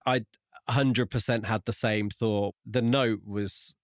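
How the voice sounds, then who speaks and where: A man's voice: on the low side, fairly even intonation, slow pace, no room sound, studio quality. A man co-hosting a book podcast, discussing his views in a casual, conversational way. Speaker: podcast co-host reviewing books.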